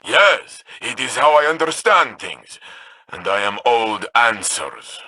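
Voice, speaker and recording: An actor playing an eccentric, cryptic wanderer in a video game, with a husky voice and a halting, theatrical, musing delivery. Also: male voice, medium pitch, big pitch swings, pushed and bright, slight background noise, full character voice.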